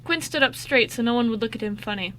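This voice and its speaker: A woman's voice: on the high side, fast talker, a bit bright. A woman narrating a scripted radio drama, reading expressively.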